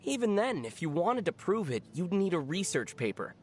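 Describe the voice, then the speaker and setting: A woman voicing a teasing, deadpan young traveler in a video game, with a dry manner. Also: on the low side, normal speed, slight background noise. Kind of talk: video game character dialogue.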